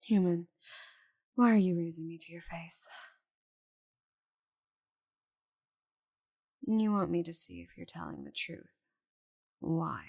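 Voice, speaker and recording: A woman voicing a proud, stubborn, bitter female dragon in a dramatic audio roleplay, with a breathy voice and haughty, sighing delivery. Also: on the low side, dark tone. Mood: resigned, grudging. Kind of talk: scripted audio roleplay monologue.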